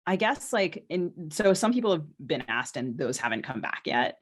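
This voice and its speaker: A woman's voice: deep voice, sing-song, moderate pace, slightly rough. A woman in a casual podcast conversation, speaking as a novelist and co-host in a hesitant, circling way with many qualifications.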